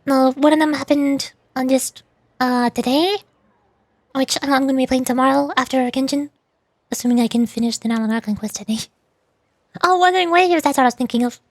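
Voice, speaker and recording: A woman's voice: high-pitched, brisk, no room sound. A woman livestreamer chatting casually to viewers, rambling and thinking aloud as she goes.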